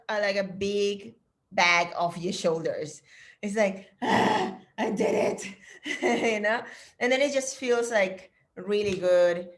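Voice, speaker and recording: A middle-aged woman from Chile, a fitness trainer, chatting casually and encouragingly to her trainees on a video call. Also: medium pitch. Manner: chatty and encouraging, with fillers and restarts.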